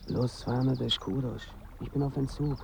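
Pleading male junkie in withdrawal, played by an actor, pushy yet polite, mellow tone, noisy ambience, radio play. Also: medium pitch, fairly even intonation, normal speed, vocal fry, natural voice. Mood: agitated. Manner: pushy but polite begging. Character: pleading young male drug addict in withdrawal. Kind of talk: radio drama dialogue.

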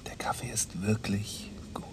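A man's voice: fairly low pitch, steady tone, whispered, natural voice. An actor playing a shy, lonely young man in a crime radio play, answering hesitantly and awkwardly. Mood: quiet, resigned. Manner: hesitant, halting short answers. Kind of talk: 1990s German crime radio play acting.

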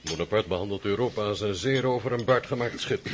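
Rich: A lowish, indignant dinner guest who declaims his views, played by a man. Cutlery and dishes clatter clearly behind him in a radio play. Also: fast talker, noisy background, close to an everyday voice.

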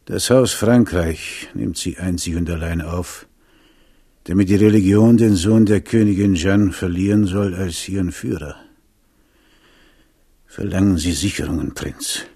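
An actor playing a grave, wary Huguenot admiral in a German radio drama, measured, weighty and stern. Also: male voice, sits low, dark tone, husky, no room sound, clean studio sound, heavily acted. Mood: grave warning.

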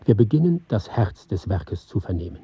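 An intent reciter of a sound score in a radio play. His voice is very husky and sits at a medium pitch, with precise, deliberate phrases. The studio sound is dry and slightly dull. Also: sing-song, no echo, exaggerated voice. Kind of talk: dramatic scripted reading.

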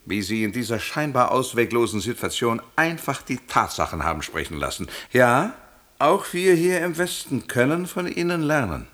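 An actor playing an effusive, patronising sales boss in a radio play, speaking in a grandiose way. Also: male voice, medium pitch, sing-song, moderate pace, a bit bright. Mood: impressed, effusive. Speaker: company superior.

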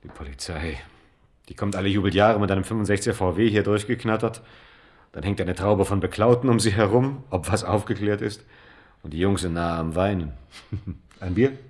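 A man's voice: deep voice, normal speed, darkish tone, natural voice. An actor playing a brash, wary manager in his early thirties in a naturalistic radio drama, with a casual, wry and brusque way of talking. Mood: wry, grumbling.

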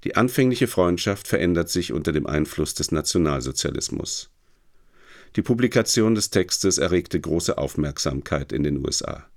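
Deep male announcer voice, scripted radio intro, measured and factual. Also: lively intonation. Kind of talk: scripted narration.

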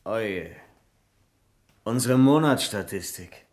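An actor playing a dry, resigned bank employee in a 1970s radio crime comedy, speaking in an understated way. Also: male voice, on the low side, expressive, slow pace, a little mellow.

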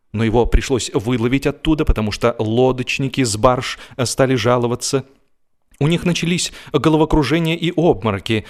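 A man reading humorous fiction as an audiobook narrator, with theatrical, wry delivery and varied emphasis. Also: medium pitch.

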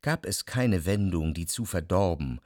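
A man's voice: low voice, animated, unhurried, darkish tone, no echo, studio quality. An actor playing the introspective narrator of a literary radio drama, a tormented, jealous lover who reflects precisely and expressively on his past. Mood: wounded, analytical. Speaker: first-person narrator.

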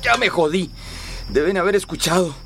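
A man's voice: sits high, lively intonation, normal speed, gravelly. An actor playing an urgent, protective man who coaxes and curses while helping a fugitive, in a Latin American radio drama. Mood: tense, cursing. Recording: loud music behind voice.

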